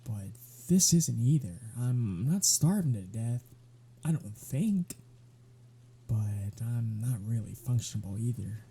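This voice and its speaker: Man acting a husky, dark-voiced sardonic vampire. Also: mid-range voice, animated, slow, exaggerated voice. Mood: weary, subdued. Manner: sarcastic, flippant, conversational. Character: sardonic, weary male vampire talking to a hunter. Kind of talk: ASMR audio roleplay acting.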